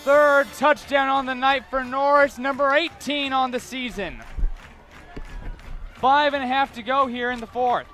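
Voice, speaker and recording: A young man with a high-pitched voice calls a game live as the play-by-play announcer. He follows each play as it unfolds, in the manner of an amateur sports broadcast. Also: unhurried, bright, forceful tone.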